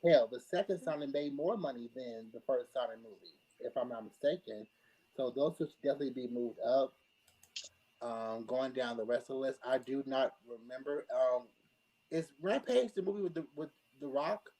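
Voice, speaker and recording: A man shares his opinions in a casual group discussion. His voice sits at an average pitch, and he talks in a halting, thinking-aloud way, searching for the next word as he argues his case. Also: warm tone, low-quality recording. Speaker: panelist.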